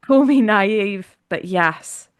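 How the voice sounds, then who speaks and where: A woman's voice: medium pitch, animated, extremely slow, clean tone, no echo. A woman with a Welsh accent speaks in a medium register in a very dry recording. She talks as a podcast co-host, in casual, conversational phrases.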